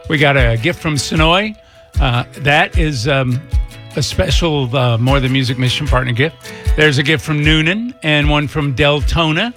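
A folksy man hosting a radio fundraiser, his voice sitting a bit high. He talks in a chatty way, and faint music plays under him. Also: normal speed, fairly bright tone. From East Texas. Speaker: radio host.

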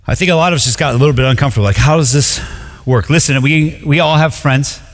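A young man from Colombia preaching a sermon in a relaxed, conversational way, full of "like" and quick restarts. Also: fairly high pitch. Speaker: pastor. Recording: long reverb of a large hall.

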